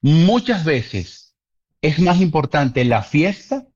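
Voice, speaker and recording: A man's voice: on the high side, sing-song, slow pace, darkish tone, highs cut off. A man talking earnestly about relationships in a casual conversation, repeating key points for emphasis.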